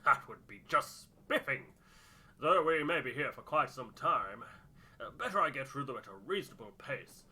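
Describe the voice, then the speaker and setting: A man's voice: mid-range voice, expressive, normal speed, bright, forceful tone, slightly rough, exaggerated voice. A man voicing a jovial older expedition storyteller in a game, with a hearty, posh, wordy manner. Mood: hearty, chummy. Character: jovial older male expedition storyteller, old-fashioned gentlemanly chap.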